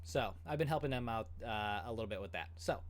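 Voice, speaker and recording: A man's voice: fairly low pitch, lively intonation, quick pace. A man in his thirties hosting a casual livestream from home, chatting with many fillers and an upbeat tone. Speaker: goalie channel host.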